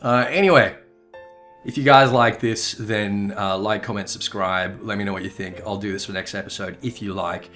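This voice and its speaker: Low-voiced man doing game commentary. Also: fairly even intonation, moderate pace, fairly bright tone. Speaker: gaming video creator. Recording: music behind.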